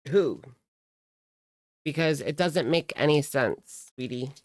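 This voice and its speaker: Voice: medium pitch, slow, dry recording, studio quality. A streamer giving casual reaction commentary in a gossipy, sardonic, conversational way.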